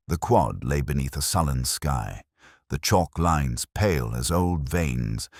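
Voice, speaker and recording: A man reading scripted first-person detective narration in a measured, literary, brooding manner. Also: extremely low pitch, no echo, studio quality.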